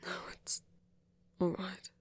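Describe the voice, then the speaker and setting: A performer playing a soft-spoken, wounded male vampire in an intimate audio roleplay, tentative and tender, often trailing off. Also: takes it slowly, no room sound, whispered, close to an everyday voice. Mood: shy, reassuring.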